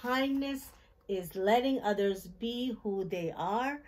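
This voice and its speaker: A middle-aged woman hosting a children's storytime, reading a picture book aloud in simple, deliberate phrases and putting questions to her young listeners. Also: a little high, a little mellow. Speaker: children's storytime host and reader. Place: small decorated indoor room.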